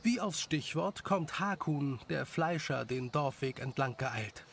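Creaky-voiced male storyteller. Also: on the high side, animated, a little slow, a bit bright, a little hiss or hum. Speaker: narrator. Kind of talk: scripted story narration.

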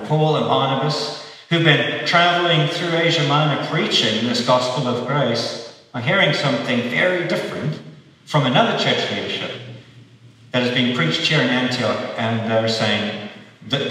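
A man preaching an expository sermon to a congregation, measured and steady, pausing often and restarting his phrases. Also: mid-range voice. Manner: measured, with frequent pauses and restarts. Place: church hall. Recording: echoey church hall, mic far off.

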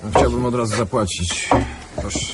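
A man's voice: medium pitch, moderate pace, very noisy, lightly acted. A man playing a polite, methodical investigator in a radio play, asking probing questions courteously. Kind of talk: Polish radio play acting.

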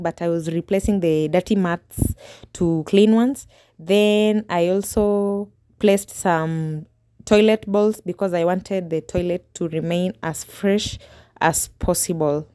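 A woman's voice: medium pitch, a little slow, no room sound. A woman, casually narrating her home cleaning in a chatty voice-over. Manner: relaxed, chatty, small restarts. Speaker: homemaking vlogger.

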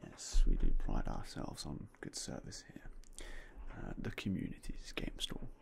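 Young guy whispering super close on a binaural mic, really deep and gravelly, slow and polite like a shop clerk. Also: huge pitch swings. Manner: soft, polite, unhurried shopkeeper talk with fillers. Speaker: ASMR roleplay host as game store clerk. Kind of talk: ASMR roleplay. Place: indoors in front of a game store backdrop. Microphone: binaural mic close to the face.

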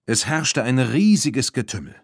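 A man reading a storybook aloud as an audiobook narrator, in a measured, clearly articulated way. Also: medium pitch, big pitch swings, no room sound, clean studio sound.

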